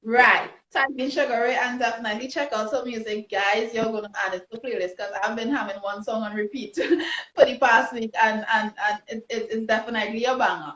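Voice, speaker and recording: A woman with a Trinidadian accent hosts a live online interview in a fairly high voice, chatty and upbeat, stumbling and restarting as she goes. Her sound is roomy and muffled, like a video call. She speaks through a phone or laptop. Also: fairly even intonation, brisk, fairly bright tone. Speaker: host. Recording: roomy, dull lo-fi livestream audio.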